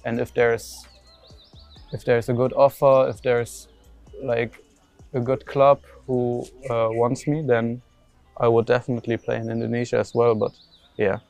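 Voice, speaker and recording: A man's voice: medium pitch, flat delivery, measured pace, vocal fry, darkish tone, faint music behind. A young man from Germany answering interview questions about his football career, hesitant, with many fillers and restarts. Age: young adult.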